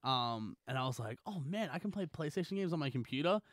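A man's voice: a little high, lively intonation, quick pace, no room sound, studio quality. A young man co-hosting a podcast, chatting casually about video games in a rambling, jokey way and acting out little quotes. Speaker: podcast co-host.

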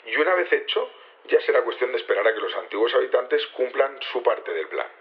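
An actor playing a composed team leader, explaining methodically and politely in an audio drama. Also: male voice, medium pitch, big pitch swings, a little fast. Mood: composed, businesslike. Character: composed male leader briefing his conspirators, methodical. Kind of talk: naturalistic audio drama acting. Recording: slightly dull radio-like sound.

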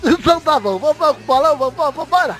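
A man co-hosting a casual podcast, reading listener riddles with a jokey, drawn-out delivery. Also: very high-pitched, animated, a bit bright, soft background music.

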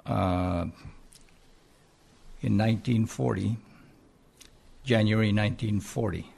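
Older guy in his 70s, philippine accent, low gravelly husky voice, lots of pauses. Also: darkish tone. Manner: hesitant, halting with many pauses. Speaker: interview guest, retired army colonel. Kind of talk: conversational interview.

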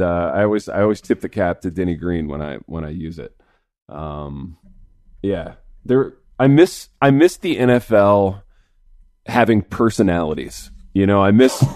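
A man hosting a sports podcast in a studio, his voice raspy this morning, chatting in a laid-back, chummy way. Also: on the low side, darkish tone, dry recording. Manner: laid-back, chummy, says dude a lot. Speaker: podcast host. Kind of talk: casual sports chat.

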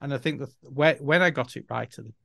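A man's voice: mid-range voice, fairly quick, gravelly, sharp tone, no echo. A middle-aged man from Yorkshire with a Yorkshire accent, chatting as a podcast guest in a rambling, self-deprecating way. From Yorkshire, England. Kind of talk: casual interview talk.

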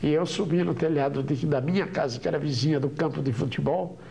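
An older man, a veteran broadcaster, reminiscing about his youth in an archival documentary interview, in a relaxed storytelling way. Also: fairly high pitch, brisk. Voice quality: gravelly. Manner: relaxed storytelling with small restarts.

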